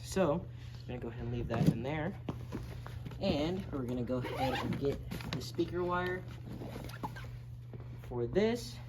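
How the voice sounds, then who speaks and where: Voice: medium pitch, expressive, a little slow, long pauses. A person giving a casual, step-by-step, hands-on tutorial as an instructor. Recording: noisy amateur video recording, background noise.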